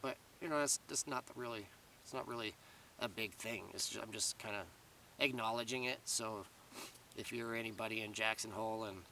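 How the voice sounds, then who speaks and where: A man rambling casually to camera in a vlog, full of fillers and restarts, his voice slightly raspy. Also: on the low side, fairly even intonation, a little fast, bright, forceful tone, recorded from a distance, slight background noise. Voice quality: slightly raspy after long talking. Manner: meandering, many fillers and restarts. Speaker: vlogger.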